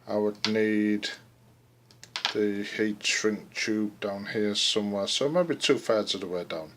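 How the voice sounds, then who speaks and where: A man casually narrating a hands-on project as he works, halting and thinking aloud, recorded indoors on a handheld camera. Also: on the low side, a bit bright, slight background noise. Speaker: DIY video host. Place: room indoors. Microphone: handheld camera mic.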